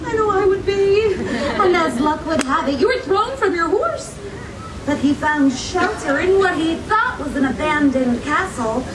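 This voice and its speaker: A woman performs as a gracious young princess telling a story to children at a live show. Her voice is very high and animated, theatrical and full of encouraging questions. The recording is distant and echoey, with crowd noise around her. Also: unhurried. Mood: animated storytelling. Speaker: theme park storytelling performer. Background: audience crowd noise.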